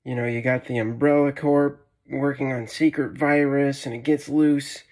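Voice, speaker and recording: A man's voice: mid-range voice, steady tone, normal speed, darkish tone. A young adult man giving a casual movie review to camera in a room at home. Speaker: guest video reviewer. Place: small room at home, indoors.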